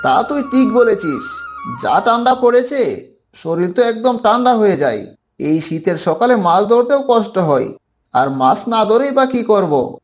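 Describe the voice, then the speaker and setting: A high-pitched village fisherman from a cartoon fable, acted by a man. He is practical, eager and chivvying, repeating himself as he urges. Faint music plays behind him. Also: big pitch swings, a little slow, exaggerated voice. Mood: resigned. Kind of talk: Bengali cartoon fable character dialogue.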